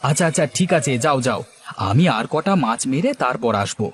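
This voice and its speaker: A man's voice: mid-range voice, expressive, a little fast, a little hiss or hum, lightly acted. A man voicing an eager, upbeat younger village neighbour in a cartoon story, urging and chiding with questions.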